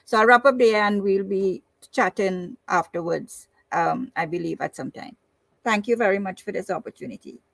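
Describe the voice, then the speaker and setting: A woman wrapping up her talk on a video call, halting and repeating words as she searches for phrasing. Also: medium pitch, gravelly, a bit bright, no room sound. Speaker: departing speaker.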